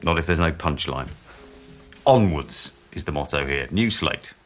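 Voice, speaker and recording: Deep-voiced pompous research boss. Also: male voice, lively intonation, measured pace, faint music behind. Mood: sour, scornful. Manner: pompous, sardonic, biting. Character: pompous, vain, snobbish male research team boss. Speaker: actor in a radio drama. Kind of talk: dramatic radio acting.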